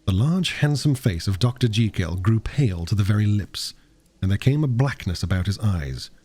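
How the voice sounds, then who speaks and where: A man reading classic literature aloud as an audiobook narrator, expressively and with dramatic, deliberate phrasing. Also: lowish voice, expressive, a little mellow, dry recording. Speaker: audiobook reader.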